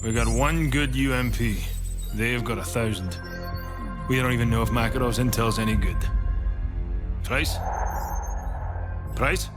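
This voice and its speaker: Guy as worried brit special forces soldier, urgent n tense, video game, music really loud. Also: mid-range voice, a little slow, pauses often, close to an everyday voice. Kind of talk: dramatic video game acting.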